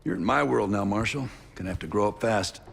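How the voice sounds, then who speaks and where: An actor voicing a cagey, sardonic male American spy veteran in a video game, gravelly and laconic. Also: lowish voice, brisk, a bit bright, a little hiss or hum. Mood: cool, terse. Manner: dry, laconic, guarded. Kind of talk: cinematic video game acting.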